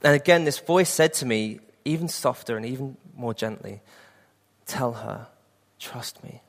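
A man's voice: mid-range voice, unhurried, creaky, big-room sound. A man in his late twenties preaching a sermon and sharing an earnest personal testimony. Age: 20s.

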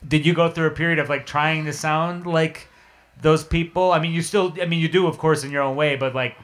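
A man interviewing a musician, asking loose, halting questions and nodding along with frequent "mm-hmm.". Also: fairly high pitch, fairly even intonation, a bit bright. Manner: halting questions with restarts and many "mm-hmm" backchannels.

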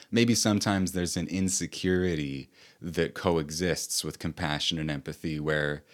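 A man with a deep voice giving a slow, thoughtful personal talk as an author and host.

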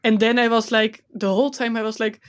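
A woman with a Dutch accent co-hosting a casual podcast, telling a story in a relaxed, self-conscious way with frequent laughs. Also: fairly high pitch, brisk. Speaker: podcast co-host. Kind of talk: casual chat.

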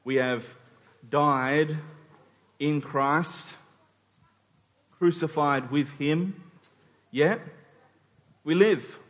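A man preaches in a hall with long reverb. His voice sits a little high for a man, and he speaks earnestly, leaning on repeated phrases and deliberate pauses. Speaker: pastor preaching to his congregation. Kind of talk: sermon. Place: church hall during a service.

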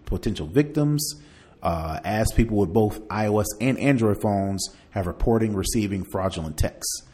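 A man's voice: fairly low pitch, a little mellow. A man hosting a podcast, chatting casually through tech news and partly reading aloud, in a conversational way. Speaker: podcast host.

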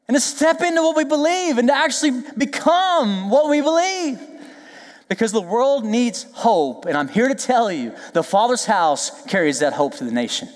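A male pastor preaching a lively, joking sermon, then leading prayer and worship while losing his voice. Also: very high-pitched, in a large hall. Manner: lively, joking, emphatic preaching with call-and-response asides. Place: church sanctuary.

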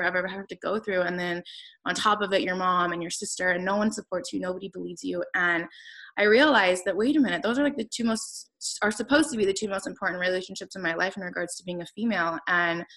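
A woman's voice: fairly low pitch, fast talker, fairly bright tone, low-quality recording. Earnest rambling woman around thirty. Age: 30s. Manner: earnest, rambling, many fillers and restarts. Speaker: podcast host and coach. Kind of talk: casual podcast conversation.

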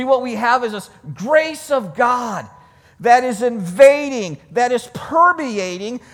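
A man preaching a sermon and reading scripture, with emphatic, deliberate phrasing that repeats for weight, recorded with long reverb. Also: very high voice.

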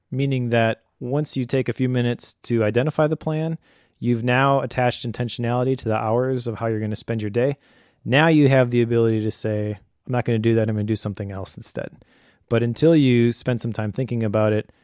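Male podcast co-host, earnest explainer, medium pitch, conversational advice, very little room sound. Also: darkish tone, no echo, studio quality.

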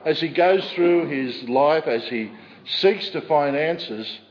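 Man preacher, loud and measured, slightly high voice, dull echoing church recording. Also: lively intonation. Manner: measured preaching with occasional fillers and self-corrections; says he has a loud voice. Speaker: pastor. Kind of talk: expository sermon.

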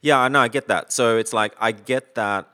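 A man's voice: medium pitch, moderate pace, pushed and bright. A man chatting casually on a call about recording gear, rambling with lots of fillers and the odd swear. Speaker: podcaster on a video call.

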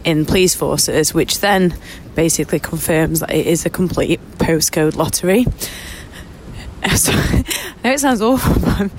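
A woman's voice: low-pitched, unhurried, a little hiss or hum, poor audio. A woman with a cold, sounding bunged up and sniffly as she narrates a documentary in a candid, personal way. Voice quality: nasal, congested. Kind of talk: reflective voice-over narration.

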